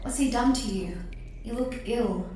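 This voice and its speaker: An actress playing an earnest, worried companion to an old professor in an audio drama, speaking plaintively. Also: female voice, a little high, unhurried, warm tone, slight background noise, close to an everyday voice. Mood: concerned. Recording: very echoey, with music underneath. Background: music.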